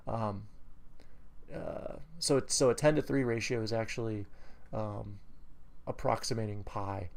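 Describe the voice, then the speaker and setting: Gravelly New England man reviewing trades. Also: medium pitch, darkish tone. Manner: rambling, many fillers and self-corrections; enthusiastic bursts. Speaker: trading educator. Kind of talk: screen-recorded trade review.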